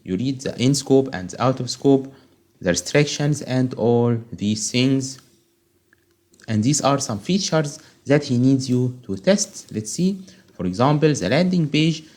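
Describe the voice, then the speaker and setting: A man's voice: mid-range voice, animated, a little slow, darkish tone. Man tutoring step by step, Arabic first language. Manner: explains step by step, often checks with "okay?". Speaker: software testing tutor. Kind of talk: screen-recorded tutorial walkthrough.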